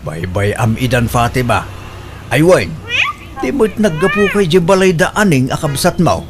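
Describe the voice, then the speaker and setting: Man playing playful elderly suitor, Ilocano accent, extremely varied pitch, exclaiming, comedy radio drama, noisy recording. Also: big pitch swings, moderate pace. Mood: playful, eager. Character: eager old male suitor, chuckling and playful.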